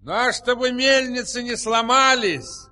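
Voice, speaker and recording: A very high, bright-voiced polite oddball. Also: male voice, measured pace, heavily acted. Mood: polite, proud. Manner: ceremoniously polite. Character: polite, eager fairy-tale windmill blower. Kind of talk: theatrical audio-play acting.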